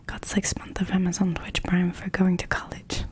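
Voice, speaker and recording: A woman's voice: on the low side, monotone, moderate pace, darkish tone. Giggly whispering woman. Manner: whispering, giggles often, switches into French. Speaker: livestreamer reading chat. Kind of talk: whispered casual storytelling on a stream.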